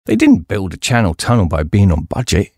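A man with a fairly low voice narrates a humorous memoir for an audiobook. His delivery is measured and articulate, with lively movement in pitch. The recording is dry and close, free of room sound. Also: breathy edge, no echo.

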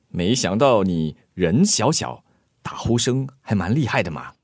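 A man acting in a radio drama as a shy, awkward young suitor who teases gently. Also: fairly low pitch, very animated, takes it slowly, slightly rough, no echo, clean studio sound, exaggerated voice. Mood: teasing.

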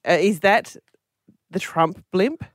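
A woman with an Australian accent co-hosting a morning radio show, chatty and quick-witted as she guesses quiz answers. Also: fairly low pitch, noisy background. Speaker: radio breakfast co-host. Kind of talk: casual on-air banter. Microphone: dry studio mic.

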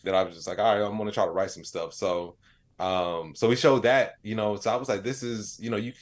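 A man's voice: fairly low pitch, steady tone, a little fast. A man speaking as an interview guest, telling stories in a casual, rambling way with lots of "like" and "you know". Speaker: interview guest, comedian and AI storyteller. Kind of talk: casual conversational storytelling.

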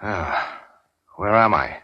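An actor playing a weary, sardonic gangster in a 1940s radio drama, terse and slangy. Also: male voice, deep voice, animated, takes it slowly, no room sound, exaggerated voice. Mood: defiant, deadpan. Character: weary hard-boiled gangster, wounded, sardonic and unafraid. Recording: dull 1940s radio recording.

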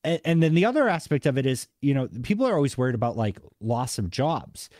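A man's voice: mid-range voice, big pitch swings, fast talker, a little mellow, no room sound. Boston-accented man, dry close sound. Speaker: podcast host. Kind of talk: casual interview chat.

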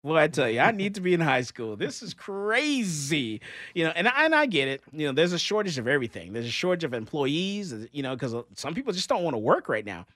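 A radio host, ranting and laughing in animated talk-show chat. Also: mid-range voice, a little fast, no echo, clean studio sound. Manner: excitable, ranting, laughs often.

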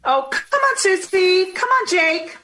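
A woman playing a gentle, coaxing 1950s mother of two in an old-time radio drama. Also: extremely high pitch, sing-song, slow pace, full character voice.

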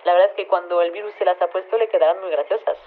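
Hesitant scientist on tinny phone line. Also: female voice, lowish voice, fairly even intonation, rapid-fire. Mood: matter-of-fact, excusing. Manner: hesitant, rambling, matter-of-fact. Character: flustered, matter-of-fact female head of virology lab. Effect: telephone filter. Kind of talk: conversational radio phone interview.

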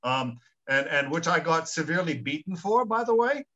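A man's voice: on the high side, very animated, normal speed, fairly bright tone. A man from South Africa co-hosting a Bible discussion, speaking conversationally and emphatically, repeating words as he goes, on dull lo-fi audio. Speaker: school principal co-hosting a Bible study.

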